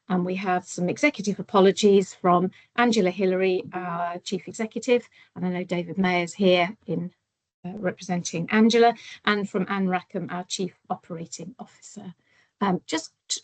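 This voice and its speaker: A woman chairing a formal meeting, courteous and brisk, thanking people often as she moves through the agenda. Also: medium pitch, dry recording, low-quality recording.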